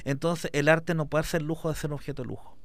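A man's voice: fairly high pitch, fairly even intonation, fast talker. A man, an artist guest in an interview, talking passionately and rambling with many fillers. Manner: rambling, fills with "digamos", passionate. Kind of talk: conversational interview.

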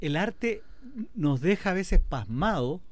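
A man hosting an interview, speaking in a reflective, measured way. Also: sits high, animated, no room sound. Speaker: interview host. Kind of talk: conversational interview.

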